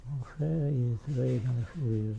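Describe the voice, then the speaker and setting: A man's voice: mid-range voice, moderate pace, vocal fry, warm tone, dull audio, a little hiss or hum. An older man giving testimony in an interview, answering briefly and hesitantly as he searches for dates and names. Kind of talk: slow recollection in an interview.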